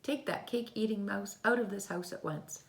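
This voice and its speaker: A woman's voice: mid-range voice, normal speed, gravelly, voice pushed up, close to an everyday voice. A woman voicing a firm but loving mother in a storybook, speaking emphatically. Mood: scolding, dismayed. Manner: emphatic, scolding or reassuring.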